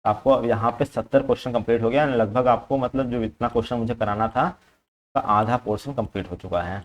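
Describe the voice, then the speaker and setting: A man speaks at a medium pitch as a coaching teacher. He explains practice questions informally, step by step. He often repeats his points and drops English terms into his explanations. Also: brisk. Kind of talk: informal exam-prep lesson, working through questions.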